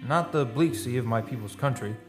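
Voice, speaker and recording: A man playing a brooding, superstitious painter in a radio drama, with grave, poetic, deliberate phrasing. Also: medium pitch, over music, lightly acted. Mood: brooding, wondering. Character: brooding, superstitious painter from an old seafaring people. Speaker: actor. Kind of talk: radio drama dialogue, lyrical.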